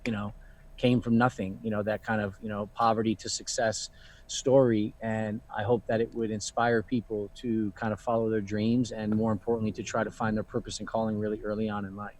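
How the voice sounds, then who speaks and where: A man's voice: on the low side, flat delivery, fairly quick, darkish tone, poor audio. A man in his thirties, a luxury fashion founder and guest, talking casually and reflectively, with many "you know" fillers. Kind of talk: casual live online Q&A.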